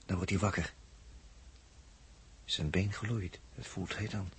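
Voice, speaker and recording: An actor playing a hushed observer in a 1960s radio drama, ominously reporting on a restless sleeper. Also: male voice, deep voice, fairly even intonation, slow pace. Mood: hushed, watchful. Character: hushed male observer narrating a sleeper's unrest. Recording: faint hiss, old radio recording.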